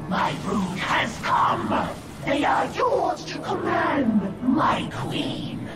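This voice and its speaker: A woman's voice: sits high, sing-song, drawn-out words, exaggerated voice. A woman playing a fierce, devoted alien insectoid brood mother in a video game, declaiming with reverence through monstrous creature processing. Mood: fierce triumph. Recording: distant, echoing, loud music behind.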